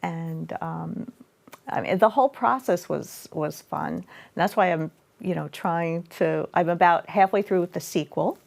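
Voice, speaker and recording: A middle-aged woman chatting as a guest in a local studio interview. Her voice sits low and has a gravelly, creaky texture. She rambles warmly with plenty of fillers and restarts. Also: unhurried. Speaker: author and guest. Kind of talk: casual interview chat.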